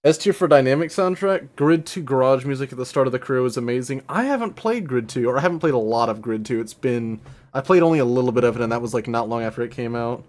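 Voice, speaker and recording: A man's voice: mid-range voice, lively intonation, quick pace, darkish tone. Laid-back stammering male streamer. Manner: stammering restarts, laid-back, swears casually. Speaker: streamer host. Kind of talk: casual live-stream chat.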